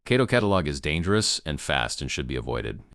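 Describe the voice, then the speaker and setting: A man playing a grave emergency news broadcaster in an amateur apocalypse broadcast, gravelly, solemn and halting in his delivery. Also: extremely low pitch, big pitch swings, a bit bright, no room sound, studio quality, heavily acted. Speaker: news anchor.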